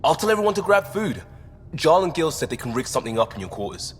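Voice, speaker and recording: A man's voice: on the high side, sing-song, fast talker, a little hiss or hum. A man playing an easygoing, joking young spaceship crewmate in casual, wry video game dialogue. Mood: pleased. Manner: wry, informal. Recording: reverberant, faint music underneath.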